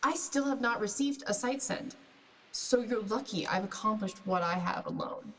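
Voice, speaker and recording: A resentful, ambitious female agent played by a woman, reporting in clipped, formal phrases with a bright, sharp edge. Her voice sits far off in a long, reverberant space, like a sci-fi radio drama transmission. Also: medium pitch, normal speed, a little hiss or hum, close to an everyday voice. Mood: cold, reproachful. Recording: distant, long reverberant hall.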